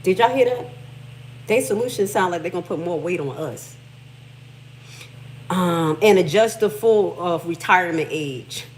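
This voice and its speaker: A middle-aged Haitian American woman hosts a livestream in a fairly low voice. She reads text aloud and breaks off into blunt, emphatic commentary with cursing. A faint hum runs underneath. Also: lively intonation, unhurried, pauses often. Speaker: livestream host.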